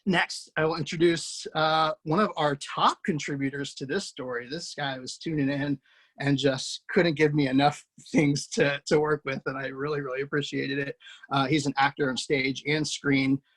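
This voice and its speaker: A man's voice: fairly high pitch, steady tone, moderate pace, a bit bright. Man hosting with chatty, effusive introductions. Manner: effusive, praising, loose with fillers and restarts. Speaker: host introducing a table-read cast. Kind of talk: casual spoken introductions.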